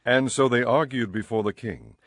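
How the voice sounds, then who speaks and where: A man narrating an audio Bible in a measured, formal storytelling style. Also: medium pitch, fairly bright tone, no echo, clean studio sound.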